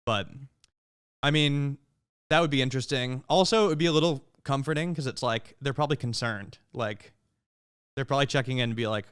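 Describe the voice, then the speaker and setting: A man talking casually as a guest on a stream, rambling in a relaxed, open-minded way. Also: medium pitch, fairly quick, clear, resonant tone. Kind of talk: casual chat.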